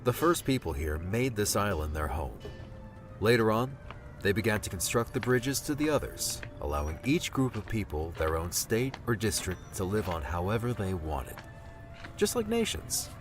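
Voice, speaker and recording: Audiobook guide role, male performer: deep man, animated delivery, matter-of-fact and dryly impatient, over clear music. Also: normal speed. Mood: explaining. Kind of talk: audiobook character dialogue. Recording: music behind the voice.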